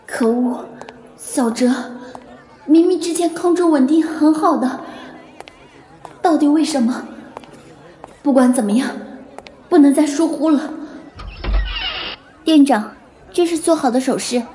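An actress playing a troubled, devoted young student and older sister in an audio drama. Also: female voice, sits high, unhurried, long pauses, dark tone, some chatter behind, a little hiss or hum. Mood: distressed. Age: young adult. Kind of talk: Chinese audio drama acting.

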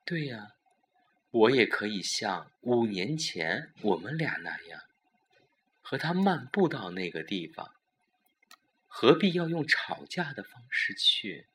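A man's voice: lowish voice, very animated, slow, a bit bright, slight background noise, pitched above the performer's own voice, full character voice. A man voicing a puzzled, tentative young wife who is an accomplice in an audio drama murder plot. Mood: questioning, uneasy. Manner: questioning, tentative.

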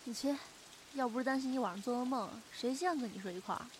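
A woman voice actor playing a caring, bossy young friend with a sharp tongue in an audio drama. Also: a little high, fast talker, a little hiss or hum, close to an everyday voice. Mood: playfully grumpy. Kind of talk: Chinese audio drama acting. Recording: prominent acoustic guitar music behind.

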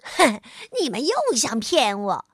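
A woman's voice: sits high, lively intonation, measured pace, a bit bright, no echo, clean studio sound, exaggerated voice. A stammering little chameleon, as a woman puts him on. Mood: scoffing. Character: small male chameleon, nervous and stammering.

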